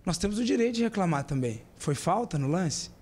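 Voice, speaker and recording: Earnest footballer at a press conference. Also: male voice, high voice, sing-song, fairly quick, darkish tone, slightly rough. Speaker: football player. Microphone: desk mic.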